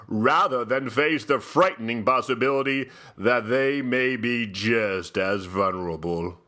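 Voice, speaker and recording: A man playing a pompous, theatrical aristocratic gentleman who hosts mock-highbrow dramatic readings with grand, deliberate, drawn-out phrasing. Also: exaggerated voice. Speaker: host.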